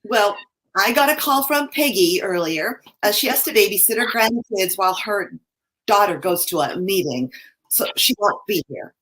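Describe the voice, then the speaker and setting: A woman playing a mild, proper small-town committee member in a comic play reading, plain and gently reproving. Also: medium pitch, lively intonation, normal speed, lightly acted, low-quality recording. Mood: matter-of-fact.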